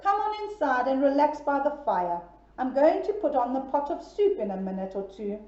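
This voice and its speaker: Woman as the third little pig: male, self-assured, cheery, bright storybook voice, roomy lo-fi. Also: high-pitched, big pitch swings, moderate pace. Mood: defiant. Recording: echoey room, dull lo-fi sound.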